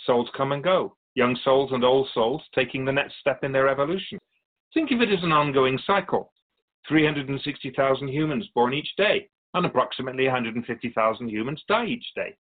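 A man playing a calm otherworldly spirit guide in a radio drama, explaining things in a measured, quizzical way as an interview guest. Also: mid-range voice, phone-quality sound, lightly acted. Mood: explanatory, playful. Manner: measured, explanatory, quizzical about human speech.

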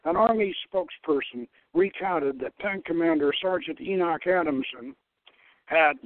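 A man giving a halting, wry dramatic reading of a story, stumbling over the text now and then. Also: on the high side, no echo. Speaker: host reading own novel aloud. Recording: thin, dry, phone-like radio line.

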